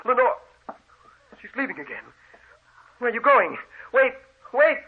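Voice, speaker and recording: A man's voice: very high voice, very animated, measured pace, breathy edge, heavily acted. An actor playing a distraught bedridden man obsessed with his dead beloved, insistent and melodramatic, in old-time radio horror style. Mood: desperate yearning. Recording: tinny, band-limited old radio recording.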